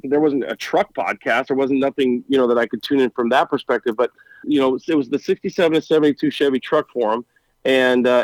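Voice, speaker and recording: A man's voice: mid-range voice, no echo, poor audio. A man from Montana chatting casually as a podcast guest, rambling with enthusiasm and often repeating words as he starts a thought. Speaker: guest, firefighter and truck podcaster.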